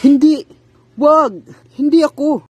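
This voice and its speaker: A man's voice: extremely high pitch, steady tone, takes it slowly, darkish tone. Man acting stubborn young husband, noisy recording. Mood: panicked. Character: stubborn young husband eager for many children, later frightened. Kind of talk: amateur radio drama acting with narration.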